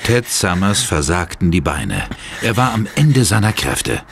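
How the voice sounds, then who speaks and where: A man's voice: low voice, big pitch swings, measured pace, gravelly, noisy background, heavily acted. A man narrating a horror audio drama in a dramatic, scripted style. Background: music.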